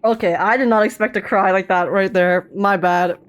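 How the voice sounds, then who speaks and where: A woman streamer giving casual live game reactions in an excitable, giggly, emotional manner. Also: mid-range voice, moderate pace, clear, resonant tone.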